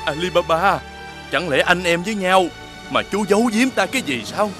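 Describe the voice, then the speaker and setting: Domineering, distrustful elder brother, animated tale: high, swooping, overbearing. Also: male voice, normal speed. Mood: accusing. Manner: overbearing, scornful. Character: greedy, suspicious, domineering rich elder brother. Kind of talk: animated fairy-tale voice acting. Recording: music behind the voice.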